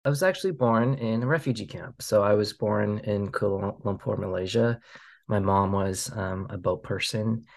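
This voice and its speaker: A man in his thirties, a filmmaker who grew up in the United States, talks through a casual interview in a gravelly voice. He pauses often and restarts his sentences, leaning on fillers. The sound is close and unadorned. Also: fairly low pitch. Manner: halting, many fillers and restarts. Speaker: documentary filmmaker, guest.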